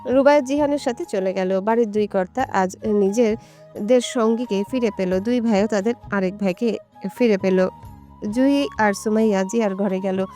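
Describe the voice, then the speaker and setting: A woman's voice: mid-range voice, moderate pace. A woman reading scripted narration for a romantic story. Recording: soft music behind the voice.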